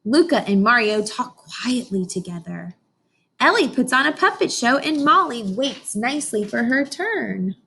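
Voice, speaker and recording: A woman teacher reading a picture book aloud to young children, expressive, often pausing to question her listeners directly. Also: on the high side, creaky, slight background noise. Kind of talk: informational read-aloud lesson for young children.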